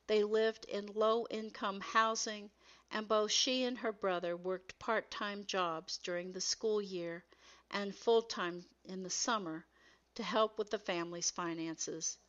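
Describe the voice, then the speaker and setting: A woman narrates educational material from a script at a middle pitch. She reads in a measured manner with careful enunciation, like a textbook narrator. The recording is a little dull and lo-fi. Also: fairly bright tone.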